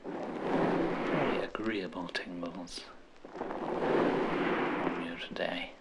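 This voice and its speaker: A man with an English accent doing an old-fashioned ASMR role-play, hushed and soothing, with genteel, formal turns of phrase. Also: deep voice, steady tone. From England. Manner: slow, hushed, soothing delivery. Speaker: ASMR host.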